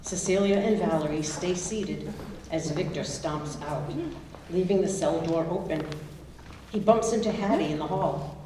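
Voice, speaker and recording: A woman's voice: sits low, unhurried. A woman reading stage directions as scripted narration for a staged reading. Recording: echoey hall, distant mic, faint noise.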